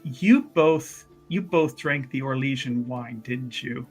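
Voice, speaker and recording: A man voicing a smooth-talking schemer in a tabletop game, improvising excuses in a hesitant, placating way. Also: medium pitch, slow, soft background music, voice pushed down.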